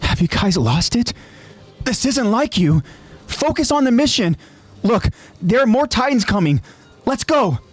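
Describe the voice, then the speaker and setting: A man's voice: extremely high pitch, big pitch swings, measured pace, long pauses, voice pushed up, exaggerated voice. A man voicing a panicked young male soldier who pleads and screams in terror. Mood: urgent.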